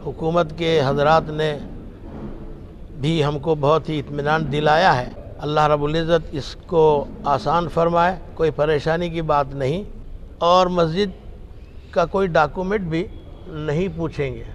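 Older cleric, slightly high voice. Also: male voice, fairly even intonation. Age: 60s. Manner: measured, halting phrases with formal religious vocabulary. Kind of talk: formal public statement. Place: indoor meeting room with others seated. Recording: faint room noise behind the voice.

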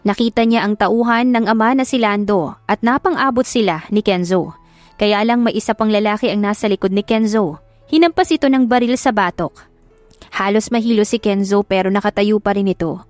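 A woman's voice: medium pitch, brisk, no echo, soft background music. A Filipino woman reading a love story as a dramatic audiobook narrator.

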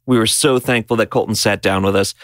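A man's voice: on the low side, steady tone, a little fast, no room sound, clean studio sound. A man hosting a music podcast backstage, upbeat and chatty, laughing often and asking long rambling questions. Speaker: podcast host. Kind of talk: casual backstage interview and recap. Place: backstage interview booth.

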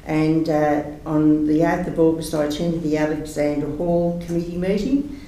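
A man's voice: a little high, fairly even intonation, moderate pace, mellow, a little hiss or hum. A man giving a rambling, earnest councillor's report at a council meeting. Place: large council meeting room. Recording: echoey meeting room from far off.

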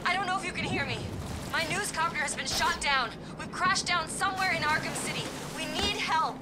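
A woman's voice: very high voice, a little fast, very noisy. An actress playing a determined female TV news reporter in a video game, urgent and articulate. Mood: shaken. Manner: urgent, articulate broadcast delivery.

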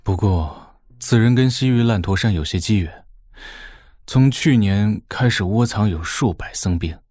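A low-voiced, fawning warlord. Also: male voice, expressive, a little slow, darkish tone, dry recording, studio quality. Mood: shrewd, informative. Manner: deferential, colloquial, explanatory. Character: obsequious, shrewd male warlord reporting to his overlord. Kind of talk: audio drama acting.

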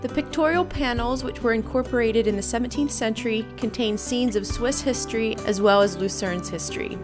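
Woman, thirties, Pennsylvania Dutch accent, chatty, laughing easily, slightly high voice, handheld camera, music underneath. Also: a little fast. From Pennsylvania, USA. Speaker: vlogger. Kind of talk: casual travel vlog. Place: car and outdoors in a lakeside town. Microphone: handheld camera at arm's length. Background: background music.

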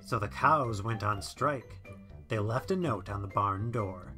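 Very deep-voiced woman reading a storybook. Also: measured pace, sharp tone, soft background music. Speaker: storybook narrator. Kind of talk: scripted children's story read-aloud.

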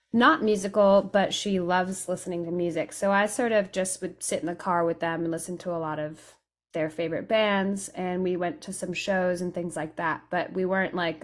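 A young woman from Italy, a guest musician, talking casually in an interview over a video call, relaxed and thoughtful, with pauses and fillers. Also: fairly low pitch. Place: home room with studio mic and headphones. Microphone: studio condenser mic on video call.

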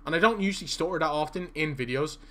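A young Irish man streams from a small room at home, talking casually to his viewers as he plays. His voice sits a little high for a man, and he answers chat messages in a loose, rambling way. Now and then he trips over a word with a stutter. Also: fast talker, fairly bright tone. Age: young adult. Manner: chatty, reads chat aloud, stutters at times. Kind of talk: casual live-stream chat while playing.

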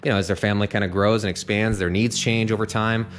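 A man's voice: lowish voice, little pitch movement, fast talker, slight background noise. A man giving a casual walkthrough of an unfinished house, speaking conversationally with many fillers and frequent "okay?" check-ins. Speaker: home sales guide. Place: unfinished house interior, open framing.

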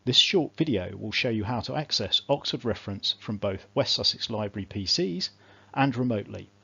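Male tutorial narrator, crisp forward tone, measured step-by-step delivery, dry but slightly dull recording. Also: fairly low pitch, expressive, sharp tone, no room sound. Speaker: instructor, information librarian. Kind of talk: scripted instructional narration.

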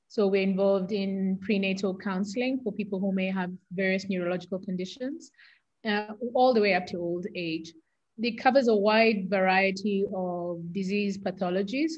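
A woman's voice: medium pitch, a little mellow, muffled recording. A middle-aged woman with a Kenyan accent, speaking as an interview guest and explaining things in an articulate, conversational way. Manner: articulate, explanatory, defines medical terms.

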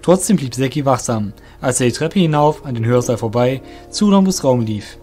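A man's voice: mid-range voice, expressive, normal speed, mellow. A man narrating a scripted fantasy story as the narrator of an audio drama. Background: music.

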